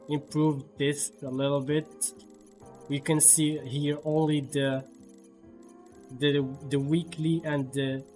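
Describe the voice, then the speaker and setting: A man giving casual live gameplay commentary as a streamer, often repeating words in a halting way. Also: on the high side. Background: faint game music.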